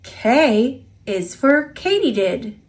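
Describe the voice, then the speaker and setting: A woman with an American accent reading an alphabet picture book aloud for toddlers, enunciating simply and deliberately. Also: fairly high pitch, very animated.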